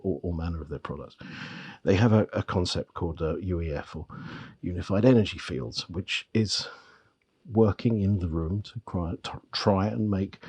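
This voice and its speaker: A middle-aged man, hoarse from a heavy cold, talks to camera as a magazine editor reviewing audio gear, rambling and restarting his phrases. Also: darkish tone. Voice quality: gravelly, bass-heavy voice. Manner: rambling, with frequent restarts and repeated words. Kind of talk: product review talk to camera.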